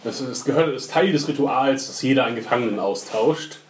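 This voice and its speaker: A man of middle pitch chats casually about a TV episode, dry and ironic, slipping into mocking quotes of the characters. His voice bounces around an echoey room, with a faint hiss underneath. He pauses often with fillers as he works through the plot. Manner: wry, ironic, retells scenes in mock quotes. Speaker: podcast co-host. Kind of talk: casual TV recap chat.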